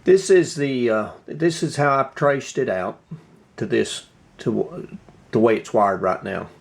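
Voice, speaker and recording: A man giving a casual hands-on workbench walkthrough, halting and thinking aloud as he explains. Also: mid-range voice, gravelly. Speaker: hobbyist radio restorer presenting his repair.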